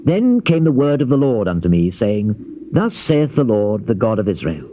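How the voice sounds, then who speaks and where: A solemn prophet, dramatically swooping delivery. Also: male voice, on the low side, very animated, dark tone. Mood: stern, proclaiming. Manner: solemn, declamatory, measured phrasing with emphatic rises. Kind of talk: dramatized scripture reading, classical stage delivery. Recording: dull lo-fi old cassette, faint hiss.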